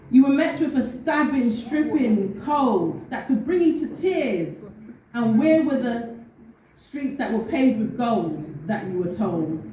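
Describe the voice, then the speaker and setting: A woman recites personal spoken-word poetry in a fairly high voice. Her delivery is deliberate and heartfelt. She sounds some way from the mic in a very reverberant room. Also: dark tone. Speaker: poet. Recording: very echoey room, distant mic, faint noise.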